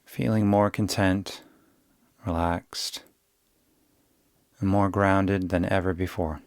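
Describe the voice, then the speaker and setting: A man guiding a yoga nidra meditation in a soothing, unhurried way, giving gentle instructions with long pauses. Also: low-pitched, fairly even intonation, dry recording, studio quality. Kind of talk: guided meditation.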